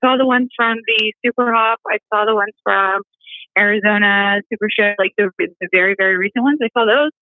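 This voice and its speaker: A woman, a model, who is a guest on a live interview and is upbeat and quick to laugh. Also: medium pitch, no room sound. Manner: upbeat, giggly. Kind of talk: phone interview. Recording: tinny phone line.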